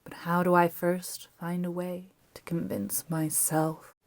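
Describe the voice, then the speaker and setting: A woman's voice: fairly low pitch, a little slow. A woman playing a troubled, earnest heroine in an audio drama, hesitant and downcast in her delivery. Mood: doubtful. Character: despairing wheelchair-bound female informant, doubtful yet earnest.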